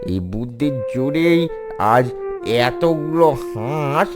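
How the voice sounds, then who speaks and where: Cartoon fox villain, male voice actor: cunning, cruel, menacing, unhurried delivery, music underneath. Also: on the high side. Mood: gloating. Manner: slow, menacing, gloating. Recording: music behind the voice.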